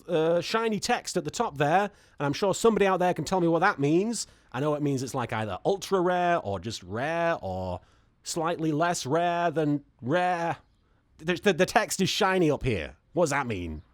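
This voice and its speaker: A man's voice: a little high, no room sound. A man chatting casually and comedically as a host, rambling playfully with mock-dramatic asides as he talks and reads aloud. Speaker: host of a card pack opening series. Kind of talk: casual comedic chat, reading card text aloud.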